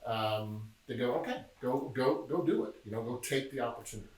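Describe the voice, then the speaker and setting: A middle-aged man from Memphis, Tennessee, talking casually as a podcast guest and telling stories with a joking, relaxed manner. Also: mid-range voice, a little fast. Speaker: podcast guest, film producer. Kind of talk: casual interview chat. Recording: echoey room, distant mic.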